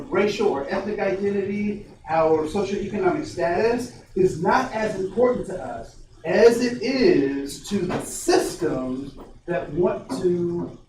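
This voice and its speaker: A man in his forties from Ohio, leading a diversity workshop with energy and quizzing his audience. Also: high-pitched. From Ohio, USA. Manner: energetic, questions the audience, frequent restarts. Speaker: diversity trainer. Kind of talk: interactive workshop talk. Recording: very echoey, distant, faint noise.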